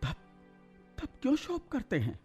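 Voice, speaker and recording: An actor playing a formal, questioning chief minister of an ancient royal court in a radio drama. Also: male voice, very high-pitched, wildly swooping pitch, a little slow, darkish tone, faint music behind, full character voice. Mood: challenging, firm. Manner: formal, deferential yet challenging. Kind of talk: Hindi radio drama acting.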